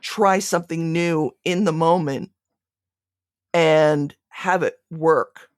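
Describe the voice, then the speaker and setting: A woman's voice: sits low, steady tone, takes it slowly, dry recording, clean studio sound. A woman being interviewed, speaking reflectively and conversationally, often restarting her phrases mid-thought. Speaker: podcast creator being interviewed.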